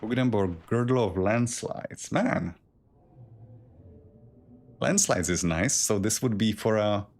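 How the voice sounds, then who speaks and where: A man's voice: on the low side, slow pace, pauses often. A man with a Czech accent that leans German, talking through a game live as a streamer and rambling as he thinks aloud. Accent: Czech, with a German tinge. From Czech Republic. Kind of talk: live gameplay commentary.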